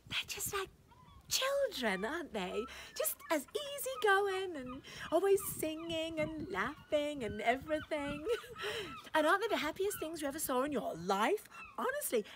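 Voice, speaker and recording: A woman's voice: sits high, a little hiss or hum. A woman voicing a gushing, condescending society lady at a party, breathless and fluttery, with giggles and gasps, in a dramatic short-story reading.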